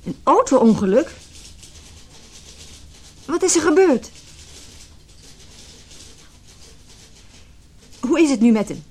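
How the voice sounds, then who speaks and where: A woman's voice: high voice, a little mellow. An actress playing an anxious, lovelorn businesswoman, a married man's mistress, in an emotional Dutch radio play. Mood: alarmed, urgent. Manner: emotional, inner monologue and tense dialogue.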